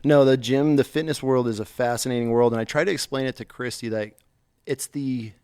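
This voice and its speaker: A man hosting a podcast in a studio, telling stories in a blunt, animated way and swearing freely. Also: mid-range voice, a little fast, clear, resonant tone. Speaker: podcast host. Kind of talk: casual chat.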